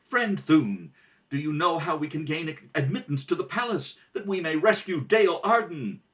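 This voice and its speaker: Guy doing a heroic young adventurer from old radio serials, highish voice, urgent, bit distant from the mic. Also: expressive, moderate pace, a bit bright. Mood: earnest. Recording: roomy home recording, off the mic.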